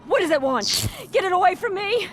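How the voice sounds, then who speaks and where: An actress playing a terrified, distraught civilian in a video game, pleading in alarm. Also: female voice, extremely high pitch, expressive, brisk, a little hiss or hum.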